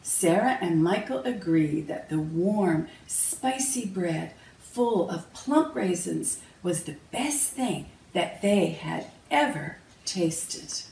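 A woman narrates a children's storybook slowly, with lively, swinging intonation. Her delivery is measured and expressive. The recording is roomy, picked up at some distance from the microphone. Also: medium pitch, gravelly. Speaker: author reading own picture book aloud. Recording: roomy, distant mic, faint noise.

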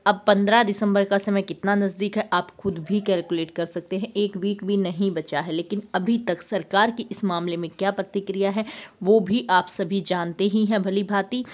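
A woman hosts a news update at a medium pitch. She talks conversationally and pauses to restart her sentences. The recording sounds a little dull and lo-fi. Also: steady tone. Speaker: news channel host.

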